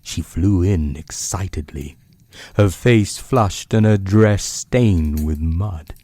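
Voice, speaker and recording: A man with a low-pitched, mellow voice narrates like a bedtime storyteller. He takes his time, lingering on phrases with dramatic pauses. His delivery has a husky edge. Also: no room sound. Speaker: podcast host and storyteller.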